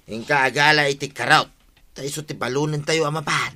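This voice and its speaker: A man's voice: a little high, steady tone, fairly quick, pushed and bright. A man with an Ilocano accent playing a bossy, scheming village buddy in a radio comedy drama, coaxing in a conversational way. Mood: confident, instructing.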